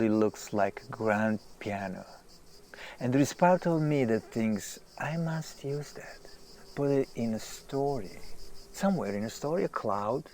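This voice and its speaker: A famous writer in an audio drama, with a lowish voice and a slow, halting delivery. He is self-deprecating and agitated, consumed by his work, and circles back over his own words. Faint birds and insects sit behind him. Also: a little mellow, a little hiss or hum. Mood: intense, brooding. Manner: hesitant, halting, repeating himself. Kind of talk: naturalistic audio drama acting.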